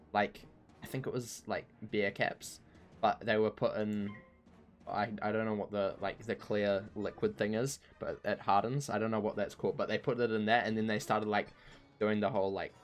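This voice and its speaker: A man with a croaky voice chatting casually while streaming a game, rambling and poking fun at himself. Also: fairly low pitch. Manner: rambling and self-mocking, laughs easily. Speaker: streamer playing an online game. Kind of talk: casual chat while gaming.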